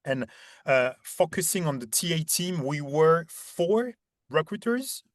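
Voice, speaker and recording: A man's voice: on the high side, animated, measured pace, dry recording, studio quality. A man in his thirties from Paris with a French accent, explaining conversationally as an interview guest, often repeating words as he searches for phrasing. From Paris, France. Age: 30s. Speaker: interview guest, head of talent acquisition.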